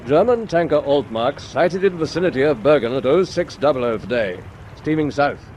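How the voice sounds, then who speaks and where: An actor playing a decisive British destroyer captain in a wartime radio drama, giving clipped, measured orders with a British accent. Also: male voice, a little high, expressive, a little mellow, a little hiss or hum, lightly acted. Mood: brisk, composed.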